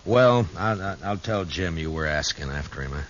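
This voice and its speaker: Low voiced grim honest marshal, 50s radio western, blunt, muffled noisy recording. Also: male voice, lively intonation, normal speed, fairly bright tone. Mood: troubled. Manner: blunt, grave. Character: stern, troubled, principled frontier marshal. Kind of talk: 1950s western radio drama acting.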